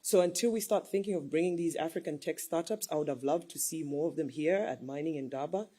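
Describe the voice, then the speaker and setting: Woman panelist, husky low-key voice, persuasive, stacks up examples. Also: fast, warm tone. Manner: earnest, persuasive, lists examples. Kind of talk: panel discussion.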